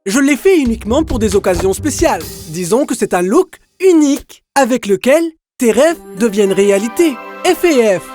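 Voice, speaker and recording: A fairly high-pitched, flamboyant cartoon hairdresser, played by a woman, boastful and theatrical. Light, showy speech sits over faint music. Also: expressive, moderate pace, hardly any pauses, warm tone, close to an everyday voice. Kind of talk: children's cartoon dubbing. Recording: music behind the voice.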